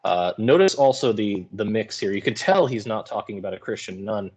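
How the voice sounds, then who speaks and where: Low-voiced man giving informal lecture commentary. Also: animated, brisk, a little mellow. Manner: colloquial, wry paraphrasing. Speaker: English teacher.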